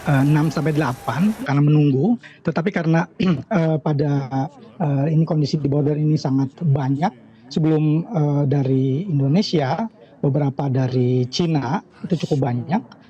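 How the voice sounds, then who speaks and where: A middle-aged man answering questions over a remote call, halting and pausing often with filler sounds. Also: fairly high pitch, dark tone, husky. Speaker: evacuee interviewed by phone. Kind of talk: hesitant phone interview answers. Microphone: phone or video call. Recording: dull lo-fi remote line with crowd behind.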